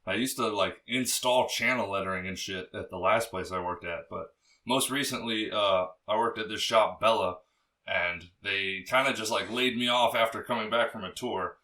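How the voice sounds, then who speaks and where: A young man with a low, bassy voice, chatting as a podcast guest in a loose, rambling way, full of fillers and swearing. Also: normal speed, sharp tone, recorded from a distance. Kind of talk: casual interview chat.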